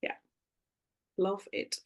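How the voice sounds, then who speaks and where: A woman with a Dutch accent, a non-native English speaker, earnestly sharing how she runs her podcast. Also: takes it slowly. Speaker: podcaster sharing in group call. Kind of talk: casual sharing.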